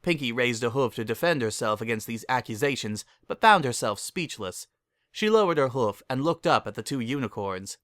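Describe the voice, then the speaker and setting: Expressive storyteller, dry close sound. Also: medium pitch, normal speed, no room sound. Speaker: narrator, story reader. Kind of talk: dramatic reading of fan fiction, scripted narration.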